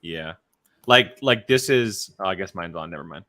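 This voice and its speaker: A man's voice: deep voice, lively intonation, moderate pace, sharp tone. A man hosting a casual live group chat, with a teasing, playfully exasperated way of talking. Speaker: host.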